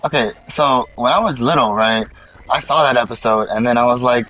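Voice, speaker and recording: A man's voice: mid-range voice, brisk, a bit bright, lo-fi sound. A young man telling a rambling, slangy, profane story casually to his friends.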